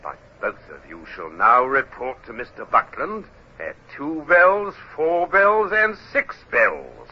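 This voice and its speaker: Paranoid sea captain, wildly swinging voice. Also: male voice, mid-range voice, big pitch swings, takes it slowly, husky, exaggerated voice. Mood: cruel, commanding. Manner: menacing, swings from hushed insinuation to barking. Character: paranoid, tyrannical older male navy captain. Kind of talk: old-time radio drama acting. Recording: dull, lo-fi old radio recording.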